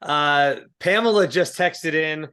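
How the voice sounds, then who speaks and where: A man co-hosting a casual TV aftershow chat, his voice rough from being sick, chatty and laughing as he thinks out loud. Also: fairly high pitch, slow pace. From Italian-American heritage. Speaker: co-host.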